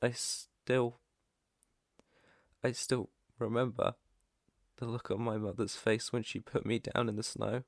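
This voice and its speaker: British man as a slow-talking, creaky, earnest werewolf. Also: mid-range voice, flat delivery, dry recording, clean studio sound. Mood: sad, wounded. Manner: hesitant, stammering, soft-hearted. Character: protective young male alpha werewolf, earnest, shy outcast. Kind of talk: ASMR roleplay.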